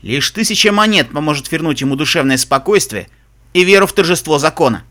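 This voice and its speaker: A man putting on a gruff, hoarse zebra sea captain. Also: fairly high pitch, expressive, fairly quick, pushed and bright. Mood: haggling. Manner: grumpy, barking sailor slang. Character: gruff, salty old zebra smuggler captain, foul-mouthed and greedy. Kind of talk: audiobook character voice.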